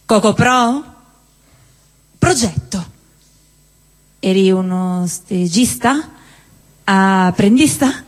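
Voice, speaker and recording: A woman acting in a live stage dialogue, chatty and teasing, sometimes bursting into excited shrieks. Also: mid-range voice, very slow, long pauses, long reverb tail. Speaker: stage actor. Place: theatre stage.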